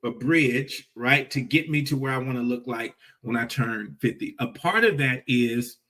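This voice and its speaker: A man's voice: mid-range voice, moderate pace, bright, forceful tone, far from the mic. A man in his forties hosting a motivational livestream, talking emphatically, repeating key phrases and checking in with "right?". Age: 40s. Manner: repetitive, emphatic, with rhetorical "right?" tags. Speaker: host.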